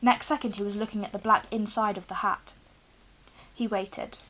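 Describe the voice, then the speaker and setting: A young woman reads a storybook aloud in an expressive narrating style. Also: medium pitch, moderate pace, rough recording. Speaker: reader, teacher. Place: small room indoors with bookshelves.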